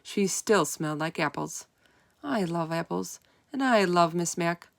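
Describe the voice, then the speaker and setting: Woman reading a children's story, lower-pitched, dry sound. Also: animated, unhurried, vocal fry, no echo. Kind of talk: expressive children's read-aloud narration in first person.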